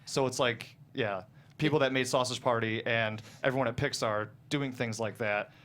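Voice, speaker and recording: A man's voice: mid-range voice, fairly even intonation, moderate pace, a bit bright. A man talking casually as a guest screenwriter about his own writing, in a hesitant, rambling way, often chuckling mid-sentence.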